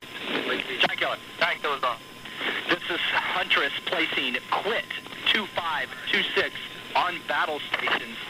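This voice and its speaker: A man working as a military radio operator, making measured, formal procedural announcements. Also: fairly high pitch. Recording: archival radio with loud background noise.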